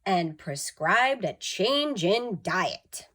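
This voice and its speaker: A woman's voice: mid-range voice, expressive, very slow, a bit bright. A woman narrating a children's story expressively, with dramatic emphasis, like a school storytime reader.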